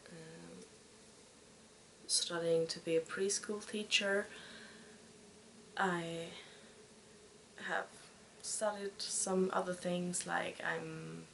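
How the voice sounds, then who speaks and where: A Swedish woman in her twenties hosting a podcast in casual chat, speaking with a Swedish accent and pausing often to find her words. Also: lowish voice, fairly even intonation, gravelly, a bit bright, a little hiss or hum, poor audio. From Sweden. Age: 20s. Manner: hesitant, searching for words. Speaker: podcast host.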